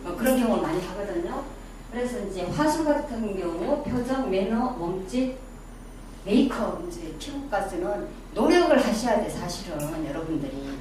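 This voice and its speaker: A woman's voice: mid-range voice, lively intonation, moderate pace, warm tone. Middle-aged woman, Daegu accent, chatty lecturer who quizzes the class, caught from afar in a very echoey room. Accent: Daegu Gyeongsang dialect. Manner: chatty, quizzes the audience, self-deprecating asides. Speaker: image-making lecturer. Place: lecture room with a small audience. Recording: very echoey room, distant mic, background noise.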